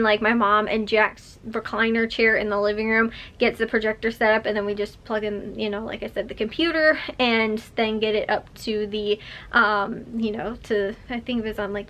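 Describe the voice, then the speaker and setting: A young woman talks straight to camera in a loose, meandering way, stacking "like" and "um" as she goes. Her voice is bright and sits a little high, with a slight roughness after coughing. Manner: rambling, chatty, full of fillers and laughs. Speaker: vlogger. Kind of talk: casual chat to camera.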